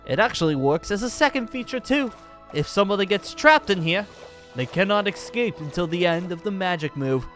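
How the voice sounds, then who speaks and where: A man's voice: sits high, expressive, a little fast, fairly bright tone. Man putting on a theatrical, swooping celestial wizard. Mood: boastful, playful. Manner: theatrical, grandly formal, chuckling. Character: boastful, jovial ancient celestial dragon slayer wizard. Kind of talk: improvised Minecraft roleplay acting. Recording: background music under the voice.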